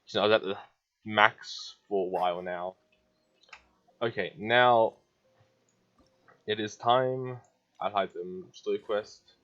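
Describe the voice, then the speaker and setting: A woman's voice: rumbling low, slow, long pauses, a bit bright. A woman giving casual, offhand gameplay commentary with short reactions, on a dull-sounding microphone. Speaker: gamer commenting on a playthrough.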